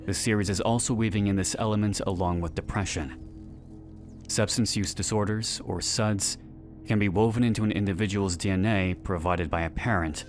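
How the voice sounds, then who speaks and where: Male narrator, low register, earnest and measured scripted analysis read, soft music bed. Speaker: narrator of a series analysis video. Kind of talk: scripted narration, video essay. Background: background music.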